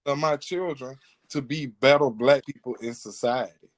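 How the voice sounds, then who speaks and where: A man's voice: medium pitch, moderate pace. A man hosting a podcast, giving his opinions in an earnest, informal conversational way. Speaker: podcast host. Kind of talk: casual opinionated podcast talk.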